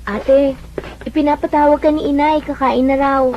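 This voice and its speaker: An actress playing a worried, nagging younger sister in a Filipino radio drama. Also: female voice, high-pitched, steady tone, normal speed, soft background music, natural voice. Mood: calling. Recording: dull lo-fi radio drama recording.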